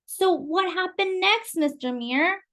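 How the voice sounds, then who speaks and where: A woman's voice: very high-pitched, slow pace, bright, forceful tone, pitched above the performer's own voice, heavily acted. A woman voicing a curious, eager young girl who asks many questions.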